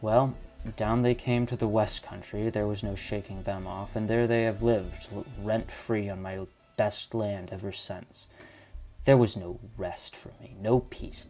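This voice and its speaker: A low-voiced old landowner, played by a man, makes a grave confession in an audio drama. He is bitter and desperate, speaking in formal, old-fashioned phrases over music. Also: darkish tone. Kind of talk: amateur audio drama acting of a Victorian detective story.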